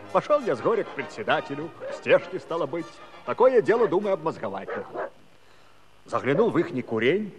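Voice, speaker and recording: A man acting a chatty Cossack farmhand with a very lively, swooping delivery. He rambles in village like a comic storyteller in a folk musical, with music playing behind him. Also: medium pitch, natural voice. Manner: folksy, colloquial, rambling, animated.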